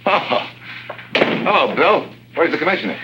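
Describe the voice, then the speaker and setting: A man's voice: a little high, big pitch swings, a little slow, noisy background. A man acting a wry, tough American secret agent in a 1950s radio drama, with sardonic, wisecracking delivery. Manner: sardonic, hard-boiled, wisecracking. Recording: dull old radio recording.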